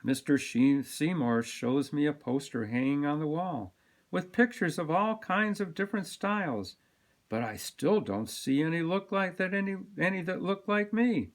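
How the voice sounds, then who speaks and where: A man reads a picture book aloud to children. His voice sits a little high and swings widely in pitch, with dramatic emphasis on key words. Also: moderate pace. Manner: animated, dramatic delivery with sound-word emphasis. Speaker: storybook reader.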